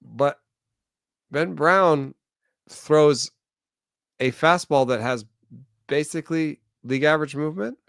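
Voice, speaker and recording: A man who is a recurring guest on a podcast, thinking aloud through pitching numbers with dry humor. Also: takes it slowly, gravelly, long pauses, a bit bright, no echo. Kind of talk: casual baseball analysis chat.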